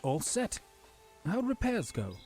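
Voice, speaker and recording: A man playing a dutiful, cautious military commander in a fantasy audio drama, speaking earnestly and gravely. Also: sits high, wildly swooping pitch, slow, darkish tone, faint music behind, full character voice.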